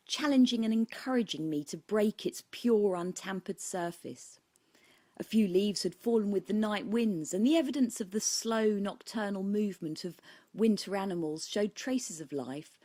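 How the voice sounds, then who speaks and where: A woman's voice: mid-range voice, dark tone, no room sound, clean studio sound. A woman with a British accent reading her own book aloud as scripted audiobook narration.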